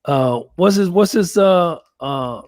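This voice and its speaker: A man's voice: high-pitched, expressive, slow, darkish tone. Rambling man in his fifties. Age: 50s. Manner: rambling, many restarts and fillers. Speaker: podcast host. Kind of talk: casual chat.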